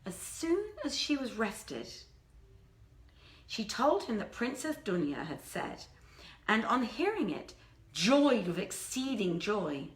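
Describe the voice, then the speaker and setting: A woman giving a live, theatrical reading of classic tales with deliberate phrasing, recorded indoors in a theatre. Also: mid-range voice, very animated. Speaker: storyteller, reader. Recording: roomy, echoing theatre sound.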